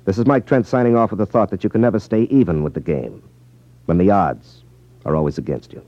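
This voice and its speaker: An American actor playing a cool, wry magician detective in a 1950s radio drama, with a teasing, unruffled manner. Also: male voice, deep voice, a little fast, dark tone, dry recording. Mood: genial, confident. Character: cool, wry magician detective who exposes gambling rackets. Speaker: lead actor in a detective series. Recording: muffled 1950s radio broadcast recording.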